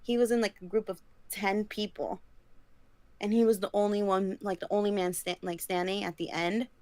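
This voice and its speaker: A young woman of middling pitch chats casually on a podcast. She rambles a lot, fills her talk with likes and ums, and giggles easily. She is recorded close and dry. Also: steady tone, moderate pace, darkish tone, no echo. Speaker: podcast co-host. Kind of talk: casual chat.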